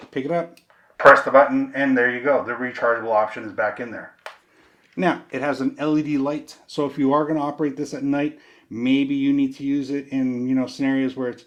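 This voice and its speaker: A man giving a casual product demonstration, explaining step by step in a conversational, folksy way. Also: medium pitch.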